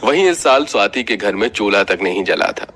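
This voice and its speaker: A man's voice: fairly low pitch, expressive, a little fast, a bit bright. A man narrating a scripted children's story as the storyteller.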